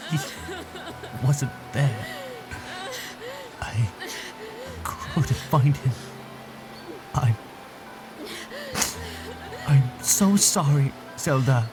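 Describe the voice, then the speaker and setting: A man playing a grieving fish-man prince in an audio drama, apologetic, speaking in halting phrases with a cracking voice. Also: medium pitch, animated, music in the background, rough recording. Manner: halting, broken short phrases.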